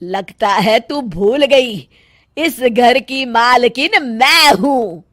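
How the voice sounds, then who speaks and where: Woman voicing a shrill scolding old mother-in-law. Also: animated, drawn-out words, heavily acted. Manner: scolding, shouting insults. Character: bossy harsh older mother-in-law. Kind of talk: storybook character voice.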